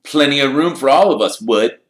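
A man's voice: medium pitch, animated, normal speed, a bit bright, reverberant, voice pushed up, lightly acted. A man voicing a big, cunning teenage bully and team captain in a children's storybook, smug and taunting. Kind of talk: audiobook character voice in a children's fantasy story.

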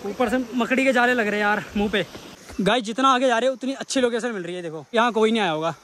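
Male travel vlogger, North Indian accent, high voice, colloquial and exclamatory, recorded outdoors with clear vehicle noise. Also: quick pace, fairly bright tone. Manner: colloquial, exclamatory, addresses viewers often. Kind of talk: casual travel vlog narration to viewers. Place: outdoors, much of it on a moving motorbike. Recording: noisy outdoor action camera, vehicle and street noise.

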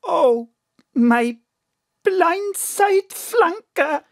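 A woman's voice: extremely high pitch, animated, drawn-out words, vocal fry, no echo, studio quality, full character voice. An actress with a Welsh accent playing a doting, plain-spoken middle-aged mother in an audio drama, emphatic and colloquial. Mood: astonished.

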